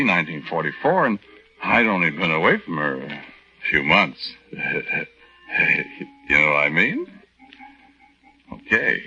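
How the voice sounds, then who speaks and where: A man's voice: deep voice, bright, forceful tone, husky, exaggerated voice. An actor playing a henpecked young American army private, telling his story in a hesitant, folksy, rambling way in 1940s radio style. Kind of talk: 1940s radio acting, conversational first-person narration. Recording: dull lo-fi 1940s radio recording.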